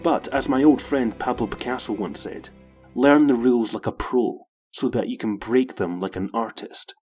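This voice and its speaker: A man's voice: mid-range voice, moderate pace, a little mellow, faint music behind. A man delivering scripted narration as the presenter of a video essay.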